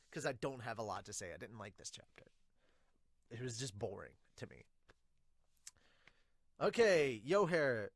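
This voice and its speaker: A man's voice: medium pitch, no room sound, clean studio sound. A man hosting a casual manga review podcast, speaking bluntly with some mild swearing and reading comments aloud. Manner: blunt, mildly profane.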